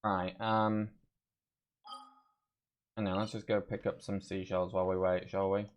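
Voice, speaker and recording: A man's voice: sits low, little pitch movement, unhurried, noisy background. A man live streaming a video game, casually thinking aloud as he plays. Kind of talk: casual gameplay commentary. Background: video game music and sounds.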